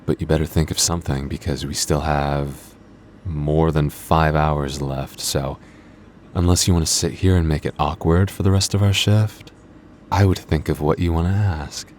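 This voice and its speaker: Very deep, teasing vampire boyfriend, acted by a man. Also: steady tone, normal speed, darkish tone, slight background noise. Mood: playful.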